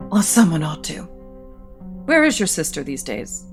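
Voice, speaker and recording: Stern rich middle-aged mom in a soap, lowish voice, formal and scolding, faint music behind. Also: female voice, big pitch swings, normal speed. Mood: reproachful. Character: imperious, disapproving wealthy mother. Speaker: actor. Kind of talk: audio soap opera acting.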